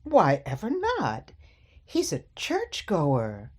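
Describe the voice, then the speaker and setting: Woman playing genteel, slow-spoken elderly mother. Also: on the low side, wildly swooping pitch, slightly rough, heavily acted. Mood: coy, defending. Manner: gently chiding, coy. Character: genteel, evasive elderly mother, sweet but stubborn. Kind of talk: radio play acting.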